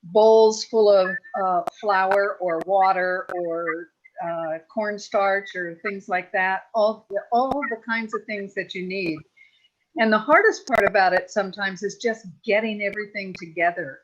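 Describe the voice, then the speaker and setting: A woman's voice: medium pitch, a little slow. A woman in a casual panel Q&A, explaining as a sound effects performer and listing details as she goes. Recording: slightly dull, lo-fi audio.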